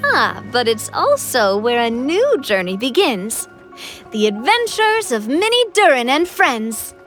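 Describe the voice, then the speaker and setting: A woman's voice: fairly high pitch, very animated, unhurried, a bit bright, soft background music. A woman playing a thoughtful young female adventurer in an anime video game, articulate and a little dramatic. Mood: upbeat.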